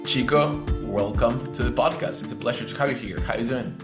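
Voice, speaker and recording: A man's voice: medium pitch, a little fast, over loud music. A man hosting a podcast, reading a scripted intro and then asking questions with frequent restarts. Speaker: podcast host.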